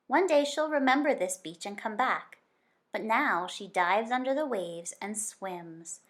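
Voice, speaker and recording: A woman reads a children's nonfiction picture book aloud like a teacher, with expressive, deliberate storytelling phrasing and stretched key words. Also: fairly high pitch.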